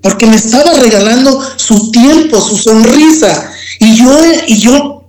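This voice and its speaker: A Mexican man shares a personal testimony at a very unhurried pace. He is reflective and comes back to key phrases, repeating them for emphasis. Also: extremely high pitch, a little mellow, a little hiss or hum, low-quality recording. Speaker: guest telling a personal testimony.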